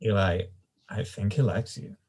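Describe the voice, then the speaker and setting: A man's voice: lowish voice, fairly even intonation, normal speed, a little mellow, slight background noise, close to an everyday voice. A man playing a cool, aloof, trendy young man with a hesitant, polite manner in a screenplay table read. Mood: casual, curious.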